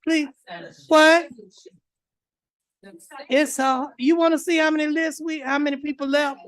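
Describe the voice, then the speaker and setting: Woman, committee chair, slightly high voice, informal, chatty asides, dry close desk mic. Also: little pitch movement, unhurried, no echo. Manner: informal, chatty, asides while managing speakers. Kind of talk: chairing a public hearing. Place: legislative hearing room.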